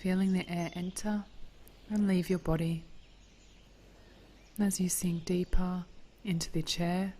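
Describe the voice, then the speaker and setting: Female meditation teacher: dark, soft tone, very slow gentle pacing, near-whispered phrase endings, light birdsong ambience. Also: fairly low pitch, level tone, slight background noise. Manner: soothing, gentle, breathy delivery drifting toward a whisper. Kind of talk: guided body scan meditation.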